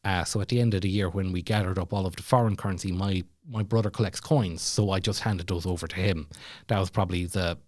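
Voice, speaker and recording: A man's voice: deep voice, fairly even intonation, quick pace, no room sound, studio quality. An Irish man chatting casually as a podcast guest, telling stories in a rambling, self-deprecating way. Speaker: podcast guest, former comedy club MC. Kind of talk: casual storytelling chat.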